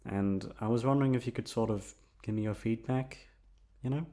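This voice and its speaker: A man's voice: medium pitch, fairly quick, warm tone, natural voice. A man voicing a nervous, obsessively lovesick young best friend in an intimate ASMR roleplay, rambling hesitantly straight to the listener. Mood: awkward, eager.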